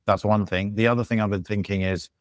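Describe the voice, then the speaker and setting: A man with a low voice talks casually on a podcast, working through his thoughts in halting, restarting phrases. The sound is dry and close. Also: fairly even intonation, dry recording. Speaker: podcast guest. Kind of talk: casual chat. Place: living in Algiers.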